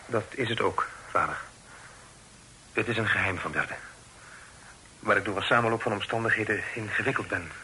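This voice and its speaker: An actor playing an earnest, well-spoken young son in a radio play, speaking formally and politely. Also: male voice, lowish voice, moderate pace, long pauses, bright, forceful tone, rough recording. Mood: earnest, uneasy. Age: young adult. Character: earnest, respectful young son with a burdening secret. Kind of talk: Dutch radio play acting. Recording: hissy old radio recording.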